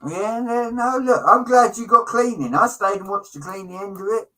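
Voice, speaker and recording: A man's voice: high-pitched, normal speed, sharp tone, rough recording. A middle-aged British man in casual livestream chat, talking in a chummy, opinionated way with slang and swearing. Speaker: livestream co-host.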